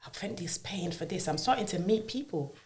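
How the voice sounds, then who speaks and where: A woman's voice: medium pitch, lively intonation, fairly quick, darkish tone. A woman from Jamaica, a podcast guest telling her own life story in an earnest, reflective way, often checking in with "you know what I mean". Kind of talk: personal life story in a casual interview.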